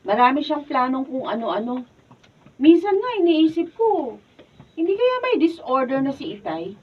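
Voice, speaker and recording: Woman playing a chatty young Filipina, very high, animated, confiding, radio drama, distant dull sound. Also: moderate pace. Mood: exasperated, confiding. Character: chatty young woman, exasperated by her father. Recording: distant, dull studio camera-mic recording.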